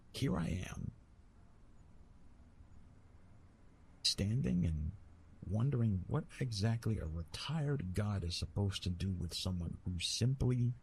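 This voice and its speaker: Retired love god, male actor: low, unhurried, halting, bashful and gently amused, intimate ASMR roleplay. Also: gravelly, slight background noise, full character voice. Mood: gentle, curious. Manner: hesitant, halting, teasing, with repeated words.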